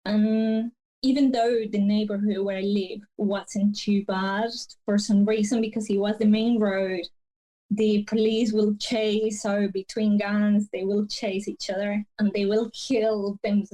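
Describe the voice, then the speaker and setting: A woman from Colombia, a podcast guest, telling her life story slowly and haltingly, with many pauses and fillers. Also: medium pitch, flat delivery, low-quality recording. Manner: slow, soft-spoken, halting with many fillers. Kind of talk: personal storytelling interview.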